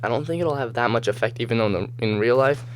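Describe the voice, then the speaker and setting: A man with a slightly hoarse, just-woken voice gives casual gameplay commentary, rambling and thinking aloud as he goes. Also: medium pitch, fast, slight background noise. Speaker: gamer, host of a gameplay video series. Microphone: voice-over recorded over game footage.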